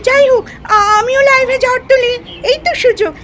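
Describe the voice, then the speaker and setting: Showy livestreamer mother for a cartoon, extremely high-pitched, excitable, gushing. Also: fairly quick. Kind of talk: Bengali cartoon voice acting. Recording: loud music behind the voice.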